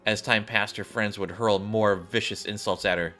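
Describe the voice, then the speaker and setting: A man's voice: lowish voice, steady tone, moderate pace, bright, forceful tone, soft background music. A man reading video game story scenes aloud as dramatic narration with casual asides, sometimes tripping over the wording.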